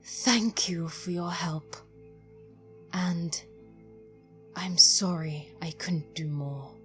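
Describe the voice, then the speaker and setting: A woman playing a grave, guilt-laden ancient stone mage in an audio drama, breathy and solemn in short, slow phrases. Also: lowish voice, steady tone, soft background music, heavily acted. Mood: regretful.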